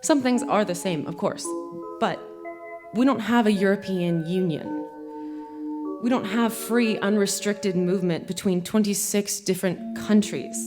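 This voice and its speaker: A young American woman giving a measured, earnest spoken essay from a theater stage, as music plays behind her. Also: mid-range voice. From United States. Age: young adult. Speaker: violinist and speaker.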